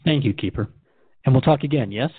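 A male radio host speaks thoughtfully and courteously, as if interviewing a guest in a radio drama. His mid-pitched voice sounds close and dry, squeezed into a narrow telephone-like band. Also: fairly quick, slightly rough, no room sound.

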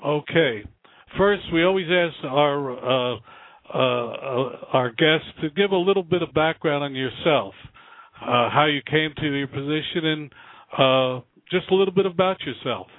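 Halting male radio host on a phone line. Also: fairly high pitch, no room sound. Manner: formal, halting with many fillers. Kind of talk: scripted intro then interview.

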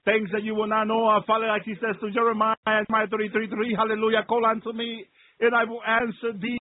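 A man's voice: high voice, phone-quality sound, slight background noise. A male preacher giving a fervent, off-the-cuff sermon that slips into prayer, repeating phrases and punctuating them with exclamations of praise.

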